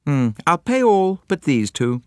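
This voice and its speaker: A man doing a grim, brisk middle-aged secretary. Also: a little high, big pitch swings, a little mellow, dry recording, clean studio sound, voice pushed up. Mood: matter-of-fact. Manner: matter-of-fact, grim.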